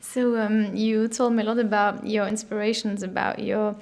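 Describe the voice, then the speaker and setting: A woman's voice: mid-range voice, little pitch movement, normal speed, creaky, a little mellow. A woman interviewer asking questions and encouraging the guests, a little far from the mic. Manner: encouraging, frequent backchannels.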